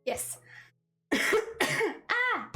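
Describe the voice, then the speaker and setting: A woman's voice: very slow, bright, forceful tone, faint music behind. A woman livestreaming a crafting session, chatting casually with her viewers; she sounds a little wheezy and rambles, laughing often. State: wheezy, voice strained. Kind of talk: casual chat to live chat. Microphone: headset.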